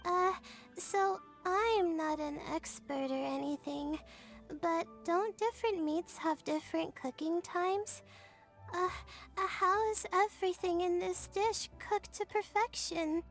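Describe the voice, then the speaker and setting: A woman's voice: very high-pitched, slow pace, soft background music, full character voice. A woman playing a young, weary girl student in a video game, talking in a timid, hesitant, unsure way. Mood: timid, puzzled. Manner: hesitant, soft-spoken, unsure.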